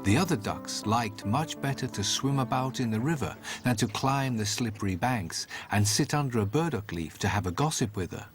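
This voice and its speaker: Expressive man narrating a fairy tale. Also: mid-range voice, normal speed, few pauses, over music. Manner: theatrical, expressive storytelling. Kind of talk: scripted storybook narration with dramatic delivery.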